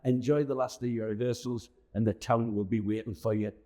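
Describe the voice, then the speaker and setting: A man interviewing in a casual, somewhat halting and informal way. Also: mid-range voice, fairly even intonation, dark tone.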